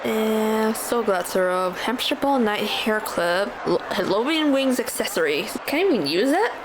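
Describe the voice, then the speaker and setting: Slow, hesitant female game streamer. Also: on the high side, animated, background noise. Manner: hesitant, thinking aloud. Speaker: game streamer reading and reacting. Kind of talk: casual playthrough commentary.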